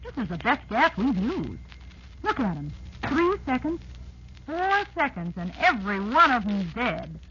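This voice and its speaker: A woman's voice: on the high side, very animated, a little slow, pushed and bright, heavily acted. An actress playing a gleeful, cruel woman scientist in an old radio drama, exultant and theatrical. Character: gleeful, cruel giant woman scientist testing poison gas. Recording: muffled old radio recording, faint noise.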